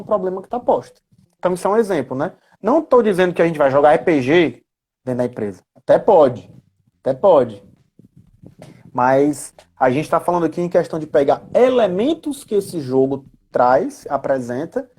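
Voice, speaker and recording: A man's voice: high-pitched, a little mellow. A man chats casually and didactically as a guest educator, often checking in with short tag questions. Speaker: guest educator answering questions on role-playing games. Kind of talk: casual explanatory live chat.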